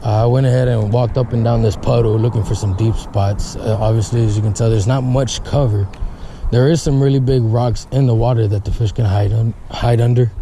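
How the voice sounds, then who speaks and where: A man's voice: mid-range voice, fairly even intonation, brisk, background noise. A man talking casually to camera as a fishing vlogger, laid-back and rambling, sometimes congested. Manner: laid-back, rambling, says "guys" often. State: congested, stuffed sinuses. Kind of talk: casual vlog talk to camera. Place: outdoors by a river.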